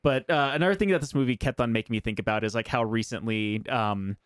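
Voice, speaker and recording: Male co-host, mid pitch, hesitant casual talk, dry mic. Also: fast talker, clean tone, no room sound. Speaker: podcast co-host. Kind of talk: casual chat.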